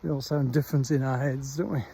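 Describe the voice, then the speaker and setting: A man's voice: mid-range voice, fairly even intonation, gravelly, warm tone, husky. A middle-aged man with a Welsh accent, chatting casually and unhurriedly into a handheld phone while walking his dog outdoors. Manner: rambling, unhurried chat with frequent pauses. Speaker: vlogger. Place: outdoors on a countryside dog walk.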